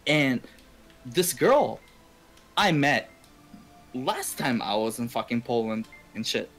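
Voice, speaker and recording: A man's voice: medium pitch, sing-song, slow pace, a bit bright. A man from Canada who streams games, telling personal stories in a casual, repetitive ramble full of swearing. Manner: profane, heavy swearing, repetitive. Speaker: game livestreamer. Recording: music playing behind the voice. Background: video game music and game dialogue.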